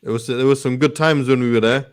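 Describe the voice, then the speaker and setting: A man hosts a relaxed chat show. He talks in a matey, informal manner at a middle pitch. Arabic phrases are sprinkled through his questions and introductions. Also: fast talker, smooth. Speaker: talk show host. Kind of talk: casual chat interview.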